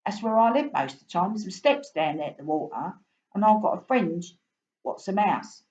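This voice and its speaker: A woman voicing a chatty male fish with a London Cockney accent, loud and folksy, in a roomy, slightly dull home recording. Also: medium pitch, lively intonation, moderate pace, lightly acted.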